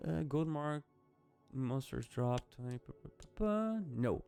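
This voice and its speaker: A man's voice: mid-range voice, expressive, a little slow, gravelly, mellow, soft background music. A man with a Brazilian accent, a native Portuguese speaker, giving casual, rambling, self-mocking commentary while playing a game and swearing freely. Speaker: gaming video creator.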